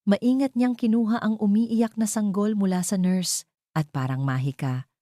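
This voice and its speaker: A woman's voice: medium pitch, moderate pace, warm tone, no room sound, studio quality. A woman with a Filipino accent narrating a scripted dramatic story as a storyteller.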